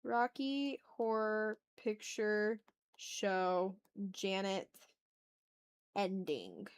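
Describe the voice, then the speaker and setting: A woman's voice: medium pitch, lively intonation, extremely slow, clear, resonant tone. Casual, candid woman streamer. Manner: informal and candid, swears freely, thinks aloud. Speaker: art livestream host. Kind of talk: casual chat while drawing on stream.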